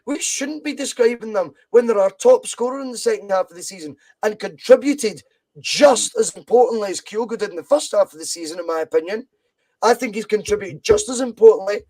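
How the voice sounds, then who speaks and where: A man's voice: high-pitched, a little fast, a little mellow, rough recording. A Scottish man hosting a podcast, talking football with passion and repeating points for emphasis. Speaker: podcast host. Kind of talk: casual football chat.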